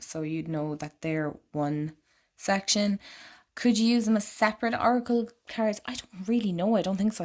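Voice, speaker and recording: A woman vlogger walking through an oracle card deck in casual, rambling chat, her voice slightly croaky and congested. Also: on the low side. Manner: chatty and rambling, lots of "like".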